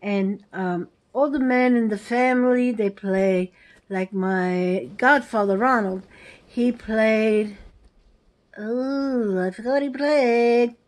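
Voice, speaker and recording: An older woman tells family stories in a put-on Italian-American accent, rambling from one memory to the next. Her voice sits high for a woman, and she talks straight to her viewers in a chatty, offhand way. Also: slow. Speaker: vlogger, family storyteller.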